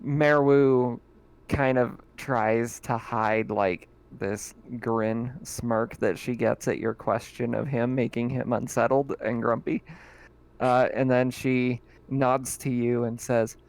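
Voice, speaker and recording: A man narrating a tabletop roleplaying game as its game master, pausing often with fillers. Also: mid-range voice, steady tone. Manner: slow, halting narration with fillers and laughs.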